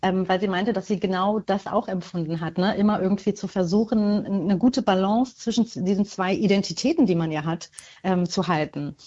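A woman's voice: medium pitch, brisk, mellow, highs cut off. A middle-aged woman from Germany answering as an author in an interview, reflective and hesitant, repeating words for emphasis.

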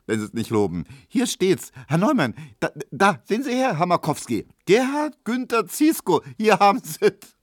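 Man acting a high, excitable barber's colleague. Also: moderate pace, few pauses, husky, dry recording, clean studio sound, exaggerated voice. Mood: hysterical glee. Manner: shouting, Berlin colloquial speech, egging on.

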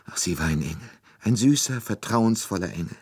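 A man voicing a grief-stricken young woman who is crying, her voice high and breaking as she sobs. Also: animated, moderate pace, a bit bright, breathy edge, no room sound, clean studio sound, heavily acted. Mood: tearful. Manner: high, strained and sobbing, with the voice breaking.

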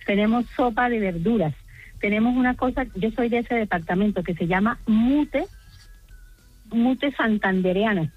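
A woman's voice: a little high, normal speed, no room sound, narrow, tinny audio, soft background music. A middle-aged woman from Colombia with a Colombian accent, calling a radio show and chatting in talkative, detailed lists. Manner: talkative, detailed, listing things. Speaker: radio phone-in caller. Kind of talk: chatty explanation of home cooking.

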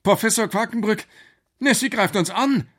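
A man's voice: a little high, expressive, moderate pace, pitched above the performer's own voice. A man voicing a doubtful, nervous young boy adventurer in a children's radio story. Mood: frightened. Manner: nervous, exclaiming.

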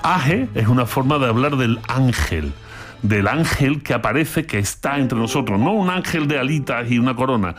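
A man from Andalusia with an Andalusian accent, explaining philosophy in a lively talk with playful, theatrical asides. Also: medium pitch, moderate pace, faint music behind. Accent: Andalusian Spanish. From Andalusia, Spain. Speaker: philosophy commentator.

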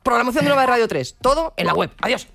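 Fast-talking Navarrese radio host woman. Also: on the high side, lively intonation, vocal fry, very noisy. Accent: Navarrese Spanish. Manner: chatty, playful, quick. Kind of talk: casual radio chat. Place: radio studio.

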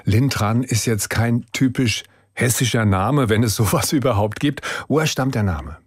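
A man hosting a radio talk show, interviewing a guest in a calm, measured way from a studio. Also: medium pitch, husky, dry recording.

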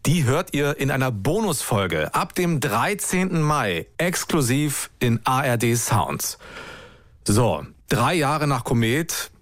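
A man narrating a documentary podcast in a calm, descriptive, scripted style. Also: mid-range voice, slow, dry recording, studio quality.

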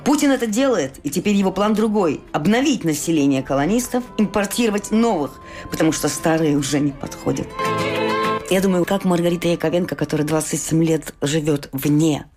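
A woman interviewer speaking earnestly, leaning slowly and heavily on her key phrases. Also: fairly low pitch, expressive, music in the background. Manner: earnest, emphatic, slow and weighty on key phrases. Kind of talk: interview questions and commentary.

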